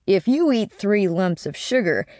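A courteous American gentleman, mildly amused and kindly, read by a woman narrator. He speaks gently with a lightly wry turn. The sound is dry and close. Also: medium pitch, lively intonation, unhurried, dry recording, close to an everyday voice.

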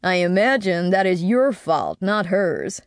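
A woman doing a gently amused gentleman. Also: lowish voice, very animated, unhurried, dry recording, clean studio sound. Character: polite, amused American gentleman abroad.

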